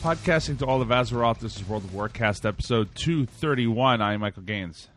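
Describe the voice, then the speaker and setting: A man's voice: medium pitch, animated, a bit bright, soft background music. Easygoing man hosting a gaming podcast. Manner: easygoing, laughs often, quick agreeing interjections. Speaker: podcast co-host. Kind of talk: casual gaming podcast chat.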